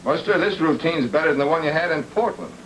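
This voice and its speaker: An actor playing a hard-boiled, wisecracking private detective in an old film noir drama, terse and dry. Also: male voice, a little high, quick pace, low-quality recording. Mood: deadpan probing. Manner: terse, dry, deadpan delivery. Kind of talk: 1940s film noir radio acting. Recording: old film soundtrack with faint noise.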